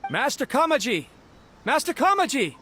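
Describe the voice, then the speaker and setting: An actor playing a nervous, deferential young soldier in a video game, flustered and polite. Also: male voice, very high voice, sing-song, moderate pace, very noisy. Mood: urgent.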